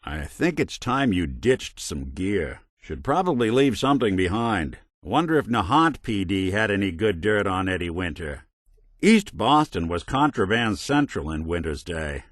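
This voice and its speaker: A low-pitched, world-weary android gumshoe for a video game. He is wry and kindly, a middle-aged male figure with hard-boiled noir phrasing. His delivery swings freely in pitch, and the recording is dry and close. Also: big pitch swings, a little slow, no echo, exaggerated voice. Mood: casual, businesslike. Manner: wry, hard-boiled old-time detective talk. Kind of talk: video game companion voice lines, noir detective acting.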